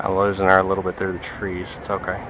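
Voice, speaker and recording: A man's voice: low voice, monotone, very fast, background noise. A man giving casual running commentary outdoors as he pilots a radio-controlled plane, talking to himself in short remarks. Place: outdoors by water.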